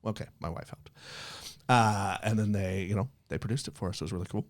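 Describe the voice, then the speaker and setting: A man's voice: fairly low pitch, a little fast. A man hosting a podcast in an upbeat, casual style, with a jokey, chatty manner. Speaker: podcast host.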